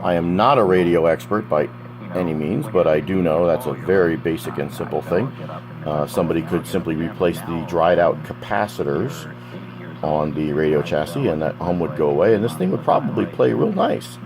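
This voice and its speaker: A man's voice: sits low, expressive, moderate pace. An American man giving casual, unscripted narration of a hobby project, rambling conversationally with asides. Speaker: hobbyist presenting a DIY project. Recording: background chatter and hum from playing radio. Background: old AM radio playing with a loud hum.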